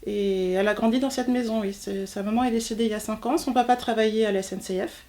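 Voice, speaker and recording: A woman's voice: on the high side, fairly even intonation, fast talker, mellow. A woman in her forties from Normandy, France, telling a personal story informally, often searching for words. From southern Manche, Normandy, France. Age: 40s. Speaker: interviewee, textile artist.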